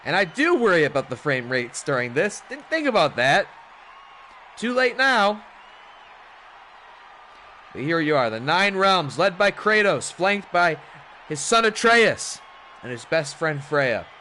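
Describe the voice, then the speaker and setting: Hoarse, animated male game commentator. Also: fairly high pitch, measured pace, pauses often, a little hiss or hum. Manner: animated, chatty hype. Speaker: streamer commentating a wrestling game. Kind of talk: play-by-play commentary.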